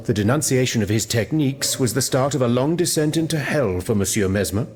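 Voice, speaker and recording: An actor playing an earnest, thoughtful scientist of old France in a video game, speaking in a formal, reflective way. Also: male voice, medium pitch, animated, fairly quick, a little mellow, a little hiss or hum, lightly acted. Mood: explanatory. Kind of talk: video game cutscene dramatic acting.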